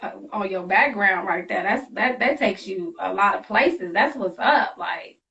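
A woman's voice: medium pitch, lively intonation, measured pace, bright, forceful tone, dull audio. A woman hosting a casual, hyped-up interview, with a bubbly, slangy, reactive way of talking. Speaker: host interviewer. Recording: distant mic with music behind.

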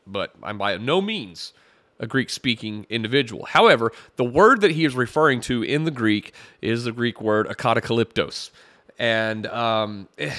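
A man's voice: mid-range voice, fairly bright tone, dry recording. A man hosting a podcast, talking through a sermon clip in a conversational way and reading Bible verses aloud. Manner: conversational, reads scripture aloud, wry chuckles. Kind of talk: critical commentary on a played clip.

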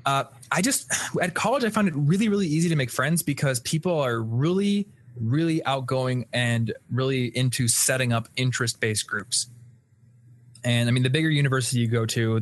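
A man's voice: mid-range voice, a little fast. A young man talks in a loose, candid way on a live stream, with the odd swear word thrown in. He has an ordinary mid-range voice and an easygoing manner. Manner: relaxed, candid, casual swearing. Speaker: podcast host. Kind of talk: casual live-stream chat.

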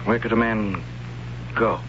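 A man's voice: on the low side, a little slow, noisy background, lightly acted. An actor playing a timid young tribesman who narrates his story in a wry, hesitant way, in a 1970s radio drama. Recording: dull old radio broadcast with music.

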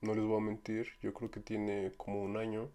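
A young Mexican man talks through a solo podcast in a low voice with little rise and fall. He rambles in a relaxed way, keeps coming back to fillers and restarts, and drops casual slang as he goes. His Mexican Spanish accent is clear throughout. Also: moderate pace. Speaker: podcast host.

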